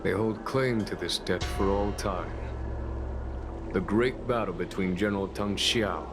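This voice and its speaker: A grave general in a story-driven game, with a very low voice. He speaks formally and solemnly, measuring each phrase as he tells of old legends over clear background music. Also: unhurried. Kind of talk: scripted dramatic game monologue.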